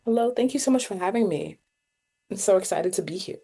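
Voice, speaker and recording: A woman's voice: mid-range voice, animated, fairly quick, warm tone, breathy edge. A young woman from Sudan whose first language is Arabic, speaking thoughtfully as an interview guest, with many fillers and restarts. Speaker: guest poet and artist. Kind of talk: reflective interview talk.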